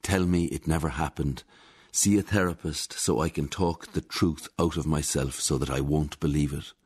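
An actor playing a defeated ex-husband in a radio drama monologue, measured and rueful as he confides. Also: very deep voice, fairly even intonation, a little mellow, breathy edge.

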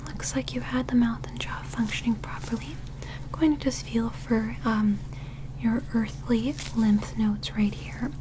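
A young woman playing a calm, curious alien medical examiner in a gentle, methodical ASMR roleplay, whispering softly and breathily. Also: fairly high pitch, noisy background, lightly acted. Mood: calm, clinical. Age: young adult. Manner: gentle, slow, methodical, clinical. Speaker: ASMR performer.

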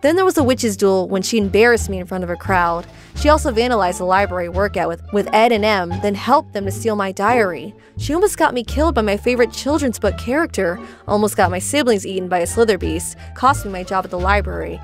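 A woman's voice: medium pitch, animated, brisk, hardly any pauses, soft background music, natural voice. A woman voicing an earnest, defensive teenage witch girl in a comic dub, speaking in an articulate, emphatic way. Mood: reluctant, airing grievances. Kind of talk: comic dub character acting.

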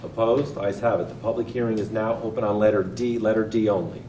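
A man chairing a council meeting, reading procedural motions in a brisk, routine way, recorded in a large council chamber. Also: fairly low pitch, steady tone, warm tone. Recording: echoey chamber, far mic, background noise.

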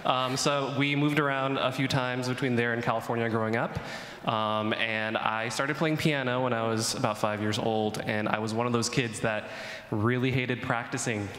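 A young man from Indonesia giving a friendly, relaxed testimony talk on a chapel stage into a handheld mic. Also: medium pitch. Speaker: chapel speaker. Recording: echoey hall with long reverb.

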